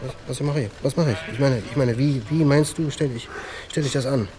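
A man's voice: mid-range voice, brisk, natural voice. An actor playing a jittery, boastful young junkie thief in a crime radio drama, stammering and compulsively repeating his phrases. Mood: nervous, boastful.

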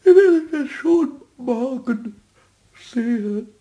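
An actor playing a small, childlike male kobold in a German radio play, cheeky, stubborn and excitable with a squeaky voice. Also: takes it slowly, dull audio. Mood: hurt, defiant. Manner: excitable, self-repeating, sing-song when rhyming.

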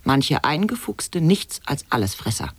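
An actor voicing a mock-serious reciter of nonsense permutations and absurd aphorisms, declaiming in an avant-garde radio play. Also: male voice, on the high side, sing-song, measured pace, slightly rough, no room sound, exaggerated voice.

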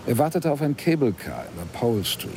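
A man's voice: medium pitch, very animated, brisk, background noise. An actor playing a probing, insistent male lawyer who tells his own story in a German radio play, with a dry, pressing manner. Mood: measured, observant. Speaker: radio drama actor, narrator.

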